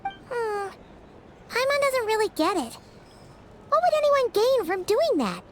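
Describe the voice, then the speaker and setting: A woman voicing a small, curious and naive childlike fairy companion in a video game, with a squeaky voice. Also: very animated, unhurried, noisy background, heavily acted. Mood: curious, puzzled. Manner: refers to self in third person, puzzled.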